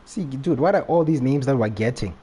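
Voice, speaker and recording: A man's voice: medium pitch, lively intonation, a little fast, warm tone. A young man commentating casually on a live game stream, slangy, chatty and reactive.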